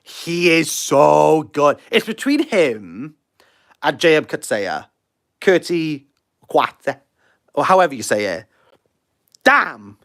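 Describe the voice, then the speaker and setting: Lively Welsh man chatting on a livestream. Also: a little high. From Wales. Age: 30s. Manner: rambling, self-deprecating, quick to laugh. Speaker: livestream host. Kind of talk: casual livestream chat answering comments.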